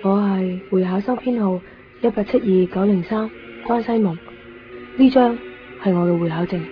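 A woman's voice: medium pitch, normal speed, soft background music, close to an everyday voice. A woman playing an intense, resentful teenage schoolgirl in a radio drama, insistent and eerie, with a Hong Kong Cantonese accent. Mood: resentful, brooding. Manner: insistent, brooding. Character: intense, cold, resentful teenage schoolgirl, eerily fixated on exams. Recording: muffled 1990s radio drama recording.

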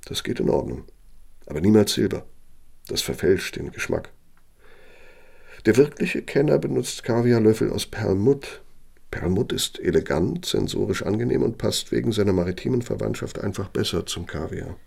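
An ageing architect in a radio play, full of pomp and old grievance. His deep voice is husky and crackles with fry. He lectures and insinuates in a pedantic, condescending manner. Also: lively intonation, normal speed, a little mellow, exaggerated voice. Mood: pompous, condescending. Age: older. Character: pompous, bitter old architect dictating private reckonings. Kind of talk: radio play monologue and dialogue.

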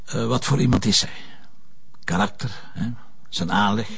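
Husky, gravelly Flemish police commissioner. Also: male voice, on the low side, a bit bright, full character voice. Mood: probing, dry. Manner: halting, probing questioning. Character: dogged, impatient male police commissioner. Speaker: actor in a detective drama. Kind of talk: naturalistic crime drama dialogue.

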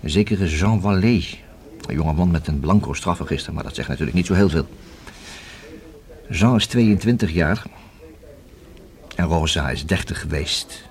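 An actor in a radio play as a methodical police inspector who reasons and questions in a formal, measured, deliberate way. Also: male voice, sits low, darkish tone. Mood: pensive, speculating. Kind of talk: radio drama acting. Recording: hissy old radio play recording.

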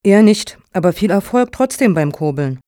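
Female panel moderator for radio satire, played by an actress: medium pitch, close dry sound, courteous and wry. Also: animated, moderate pace, warm tone, no room sound, natural voice. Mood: dryly polite. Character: polite, dry, controlled moderator of a funding jury. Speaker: actor in satirical radio play.

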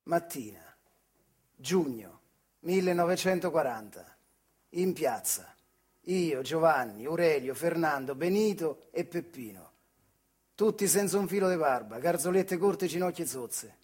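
Casting: retired factory worker, earthy storyteller, Marche accent, raspy and fairly high-voiced, stage monologue. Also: male voice, animated, unhurried, a little mellow. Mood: reminiscing. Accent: central Italian, Marche. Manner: earthy, colloquial, acts out dialogue. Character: retired Italian factory worker recalling his wartime boyhood. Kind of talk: theatrical monologue storytelling in dialect-tinged speech.

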